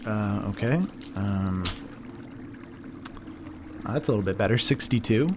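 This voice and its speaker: A man's voice: fairly low pitch, a little slow. A man playing a tabletop game, describing his character's moves in a loose, casual, playful way. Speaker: player. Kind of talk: casual tabletop roleplay chat. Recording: faint background noise.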